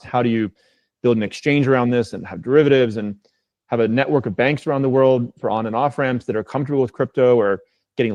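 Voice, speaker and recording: A man's voice: mid-range voice, a little mellow, no echo. A man speaking as a company executive on an earnings call, making his case point by point in an assured, emphatic and conversational way.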